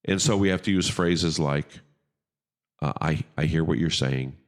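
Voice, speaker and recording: Man, middle-aged, pastor, podcast host, very low big voice, emphatic, says "okay?" a lot. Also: normal speed. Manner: emphatic, with frequent "okay?" checks. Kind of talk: casual podcast conversation.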